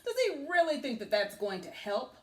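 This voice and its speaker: Storybook villain: a woman playing a boastful male wolf, emphatic and theatrical, pitch swooping widely. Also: lively intonation. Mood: scoffing. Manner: drawn-out, emphatic, theatrical phrasing.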